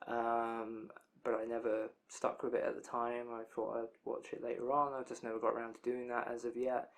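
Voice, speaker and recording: A man's voice: medium pitch, completely flat delivery, moderate pace. A young man with a tired, worn-out voice, rambling casually through an anime release news roundup with loose asides.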